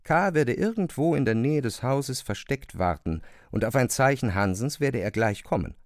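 Expressive male narrator, very dry recording. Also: medium pitch, normal speed, no room sound. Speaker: audiobook narrator. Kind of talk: scripted literary narration.

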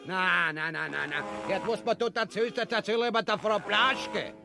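A man's voice: high-pitched, moderate pace, gravelly, music in the background. An actor playing a vulgar, cowardly, bombastic king in a grotesque comedy, blustering and whining with an Austrian accent. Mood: indignant bullying. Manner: blustering, whining, swooping between mutters and bellows. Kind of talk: grotesque comic radio-play acting.

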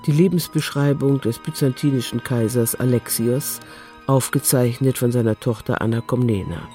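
A man's voice: medium pitch, moderate pace, warm tone, soft background music. A man reading scripted literary narration as the narrator of a radio drama.

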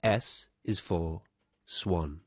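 A man giving a scripted storytelling performance in a deliberate, measured manner. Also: sits low. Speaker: performer and narrator. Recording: loud music over the voice. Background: prominent music.

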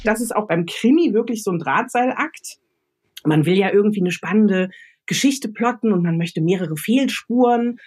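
A woman's voice: medium pitch, animated, moderate pace, darkish tone, slightly rough. A woman speaking unscripted as a guest author, explaining her advice step by step as she thinks aloud. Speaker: guest expert, radio drama author. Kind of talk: unscripted expert advice in conversation.

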